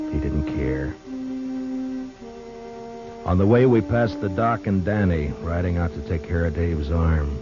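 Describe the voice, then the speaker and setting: An actor with an American accent playing a grave, compassionate, weary frontier marshal in a 1950s radio western, sober and plain-spoken. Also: male voice, very deep voice. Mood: somber narration. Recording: muffled 1950s radio recording.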